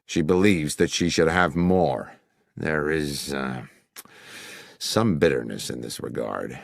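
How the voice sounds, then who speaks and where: A man's voice: rumbling low, slow, creaky, no room sound, studio quality, pitched below the performer's own voice, exaggerated voice. A man voicing a formal, wordy middle-aged businessman client who is fond of puns, in an audiobook. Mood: embarrassed, confiding. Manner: formal, long-winded, jovially self-amused. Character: anxious middle-aged businessman client, verbose, pun-loving. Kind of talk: audiobook character dialogue.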